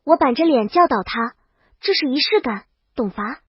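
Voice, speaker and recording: A woman's voice: sits high, expressive, moderate pace, husky, dry recording, muffled recording. A woman reading a scripted first-person comic story as its brash, exasperated heroine. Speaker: audio story narrator.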